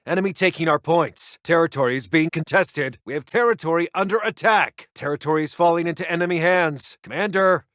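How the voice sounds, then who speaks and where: High-pitched, clipped military intelligence officer played by a man. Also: fairly quick, hardly any pauses, a bit bright, no echo, studio quality, natural voice. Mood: urgent, alert. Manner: clipped, formal military reporting. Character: brisk, dutiful military intelligence officer reporting to commander. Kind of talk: video game voice lines, battlefield radio reports.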